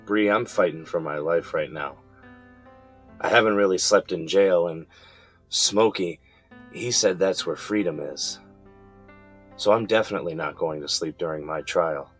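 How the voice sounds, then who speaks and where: A man's voice: deep voice, measured pace, pauses often, slightly rough, soft background music, full character voice. A man playing a weary middle-aged defendant who explains himself in an earnest, conversational way in an audio drama. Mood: relaxed, joking.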